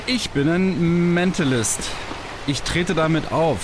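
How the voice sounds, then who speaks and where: A man's voice: a little high, takes it slowly. Guy doing a nervous earnest young guy in a sci-fi audio drama, hesitant, stumbles on words, noisy background. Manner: stammering, hesitant, flustered. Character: shy, awkward young stage mentalist, nervous and earnest. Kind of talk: science-fiction radio play dialogue.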